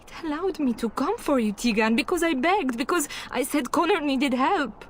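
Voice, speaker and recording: A woman playing a haughty but frightened noblewoman and mother in a video game, pleading in halting, dramatic speech. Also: high-pitched, animated, a little hiss or hum. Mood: fearful, grave. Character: distraught, pleading noblewoman, wife and mother, haughty when challenged.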